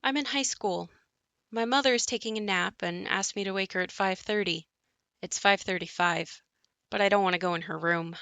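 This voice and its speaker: A woman plays an uneasy daughter from a haunted household in an audio play. Her voice is bright and clear-edged at a middle pitch. The sound is dry, with little room around it. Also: normal speed, no echo, lightly acted. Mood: uneasy, reflective. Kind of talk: audio play monologue and dialogue.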